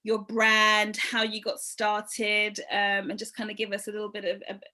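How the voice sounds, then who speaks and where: A woman's voice: mid-range voice, monotone, normal speed, pushed and bright. A young woman hosting a casual online interview, upbeat and gushing, with many fillers. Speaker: interview host.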